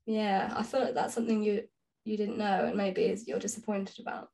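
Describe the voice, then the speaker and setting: A woman's voice: mid-range voice, steady tone, a little fast, vocal fry, dark tone. A woman co-hosting a podcast, chatting casually with a friend in a playful, dryly teasing way. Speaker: podcast co-host. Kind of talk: casual chat between friends.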